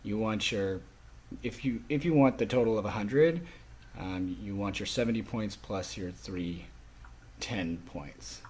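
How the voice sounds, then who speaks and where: A man speaking as a college instructor, explaining course rules to a class in an instructional way with frequent short pauses. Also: on the low side, darkish tone, slightly rough. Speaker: college sign language instructor.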